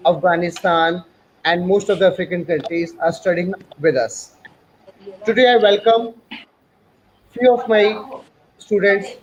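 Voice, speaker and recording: A man hosting a university webinar, speaking formally with halting restarts and fillers. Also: high voice. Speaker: webinar host, university director. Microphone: video call. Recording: dull lo-fi call audio with faint noise.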